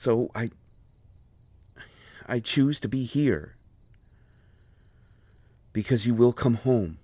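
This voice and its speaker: A man playing a proud, hurt, lovestruck healer in an intimate audio roleplay, speaking earnestly and haltingly with deep feeling. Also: warm tone, no echo. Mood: anguished, devoted. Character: proud, wounded, lovelorn male healer with a limp.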